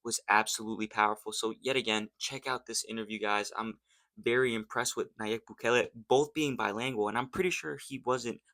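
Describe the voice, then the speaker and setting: Young American man, bright forceful low voice. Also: fairly even intonation, a little fast, dry recording. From United States. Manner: earnest, rambling, slangy. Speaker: YouTube host. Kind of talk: casual vlog commentary.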